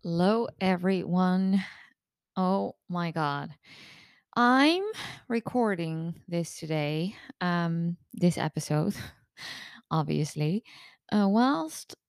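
A hoarse woman in her thirties who has lost her voice, a coach hosting her own podcast in a candid, chatty way. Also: on the low side, animated, very slow, long pauses, no echo, clean studio sound. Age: 30s. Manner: candid, chatty, open-book. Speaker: podcast host and eating disorder recovery coach. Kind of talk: casual solo podcast monologue.

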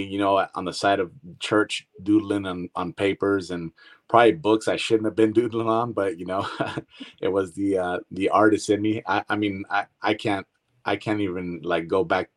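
Very deep-voiced man, relaxed guest. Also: normal speed. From Northern California. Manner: relaxed, hesitant, many ums. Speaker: guest artist. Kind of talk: casual interview.

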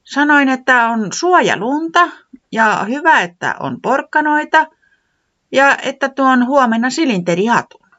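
Nainen esittää pientä, mietteliästä olentoa, joka puhuu epäröiden ja vihjaillen korkealla äänellä. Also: female voice, high-pitched, sing-song, sharp tone, dull audio, voice pushed up, heavily acted. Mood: complaining. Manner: hesitant, hinting, wistful.

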